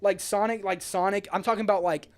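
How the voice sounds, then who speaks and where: A male podcast host with a voice a little higher than most men's. He talks in an excitable, slangy way, swearing freely and circling back over his phrases as he chats. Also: quick pace. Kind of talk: casual podcast chat.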